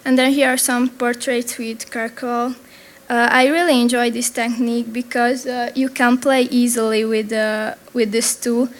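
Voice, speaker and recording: Slow, hesitant young Hungarian-accented woman. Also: on the high side, level tone. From Transylvania. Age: young adult. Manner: hesitant, many pauses and restarts. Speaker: young artist presenting her work. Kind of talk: nervous presentation. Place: event venue.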